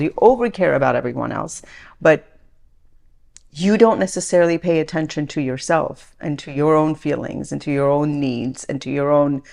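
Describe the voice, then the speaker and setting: Earnest woman from Iran reflecting on her past. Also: deep voice. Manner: earnest, thoughtful, many restarts and fillers. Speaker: guest. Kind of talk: reflective interview talk.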